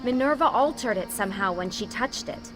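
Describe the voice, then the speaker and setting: A woman's voice: on the high side, expressive, brisk, close to an everyday voice. An actress playing a composed, serious woman who leads a covert team in a video game cutscene. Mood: explaining. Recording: clear music underneath. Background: music.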